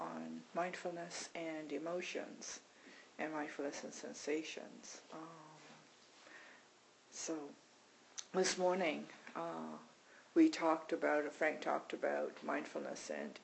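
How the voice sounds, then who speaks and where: Gravelly, halting meditation teacher. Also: medium pitch, a little hiss or hum, rough recording. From Canada. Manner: halting and self-deprecating, with frequent restarts. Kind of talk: talk at a mindfulness retreat.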